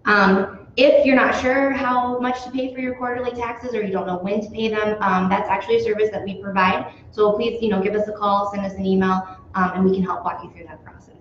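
A woman's voice: mid-range voice, fairly even intonation, brisk, few pauses, a little hiss or hum. A young woman hosts a live question-and-answer, friendly and chatty with frequent "um"s. Her voice rings out with a lot of room echo, and the sound is dull, like a far camera mic in a small office. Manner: friendly, lots of "um"s. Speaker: host. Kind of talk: hosting a live company Q&A. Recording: very echoey, dull office recording.

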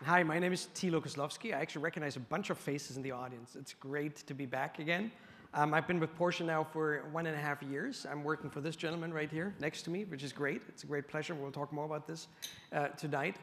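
A man's voice: a little high, steady tone, brisk, a bit bright, a faint crowd. Male panelist, upbeat and chatty, faint hiss, hall echo. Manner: upbeat, conversational. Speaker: panel guest, company executive. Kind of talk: stage panel discussion. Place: stage in an event hall. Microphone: lapel mic.

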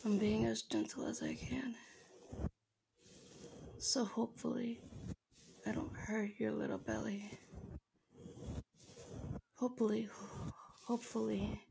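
A woman playing a tender, doting, dominant girlfriend in an ASMR roleplay, speaking softly and gently with breathy whispers, close to the microphone. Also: fairly high pitch, little pitch movement, slight background noise, heavily acted, rough recording. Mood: tender, reassuring. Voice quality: soft, breathy, often whispering. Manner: slow, gentle, babying. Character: tender, doting dominant girlfriend comforting her sick partner.

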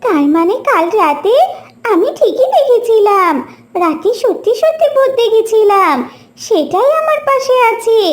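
A performer voicing a small, childlike cartoon character, earnest and squeaky, in a children's story. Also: heavily acted. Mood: frightened. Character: small friendly lonely child ghost and excitable young animal friend.

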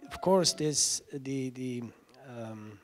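A middle-aged man moderates a seminar panel. He talks slowly and deliberately into a handheld microphone, pausing to search for his words. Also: mid-range voice, expressive. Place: lecture hall stage, seated on a panel.

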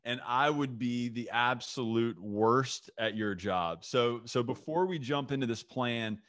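Eager talk-show host on a dry mic. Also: male voice, mid-range voice, measured pace, fairly bright tone, no echo. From North Carolina. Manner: eager, effusive, repeats words. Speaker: podcast host. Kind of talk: enthusiastic interview chat. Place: home office with bookshelves. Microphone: studio mic on boom arm, video call.

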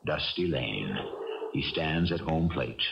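A man's voice: extremely low pitch, animated, slow pace, creaky, background noise. A man hosting and narrating a radio drama in a theatrical, musing manner, posing rhetorical questions. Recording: distant dull old radio, loud music.

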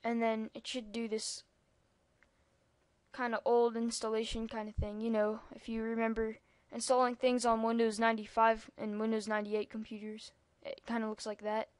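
A woman's voice: on the high side, completely flat delivery, moderate pace, no echo. A young-sounding female speaker giving a rambling, casual computer tutorial over a screen recording, with lots of ums and asides. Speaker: tutorial maker.

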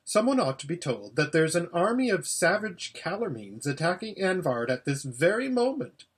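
Earnest, high-pitched young boy voiced by a man. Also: big pitch swings, normal speed, breathy edge. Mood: polite. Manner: polite, tentative, earnest. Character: earnest, polite young boy, a stranger in the woods.

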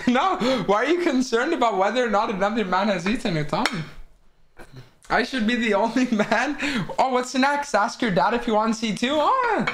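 Streamer guy, young, teasing banter, lively swinging voice, kitchen stream with faint noise behind. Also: very high voice, normal speed. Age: young adult. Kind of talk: casual stream chat. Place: home kitchen, indoors.

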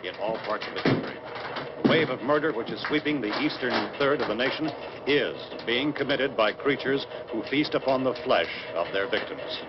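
Noisy, dulled audio from an old film carries a sober American newscaster played by a man. He reads a 1960s bulletin at a medium pitch, formally and with measured authority. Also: animated, lightly acted. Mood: grave, urgent. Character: grave, sober male TV newscaster reporting a catastrophe. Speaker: television newscaster. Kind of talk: 1960s TV news bulletin, scripted reading. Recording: dull noisy old film soundtrack, television sound.

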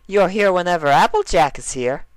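A man's voice: sits high, expressive, moderate pace, smooth, no echo, voice pushed up. A man voicing a cheerful, innocent, scatterbrained mare pony in a bubbly, childlike, eager way for a story reading. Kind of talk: fan fiction character reading.

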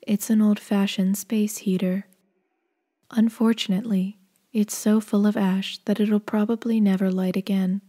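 A woman playing a calm game narrator, reading scripted lines in a measured, soft way. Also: mid-range voice, close to an everyday voice.

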